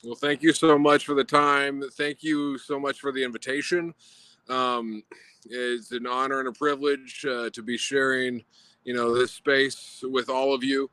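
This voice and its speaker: A man from Guatemala telling a personal story in an earnest, reflective way, pausing often with fillers over a video call. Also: mid-range voice, flat delivery, bright, forceful tone, clean tone, no echo.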